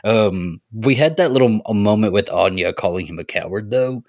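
Man, lower-pitched voice, dry close sound, casual podcast co-host, emphatic, sweary fan talk. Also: expressive, brisk, darkish tone, no room sound.

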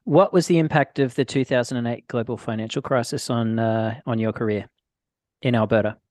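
A man's voice: medium pitch, a little fast, darkish tone, no echo, studio quality. A man, hosting a casual interview and calling his guest "mate". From Australia. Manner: friendly, says "mate", asks open questions. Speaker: podcast host.

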